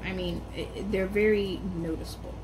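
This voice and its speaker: A woman's voice: medium pitch, fairly even intonation, a little fast. Rambling young woman with stressed R's. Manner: rambling, hesitant with many ums and restarts; strongly stressed R sounds. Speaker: vlogger. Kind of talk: casual personal vlog. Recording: background noise.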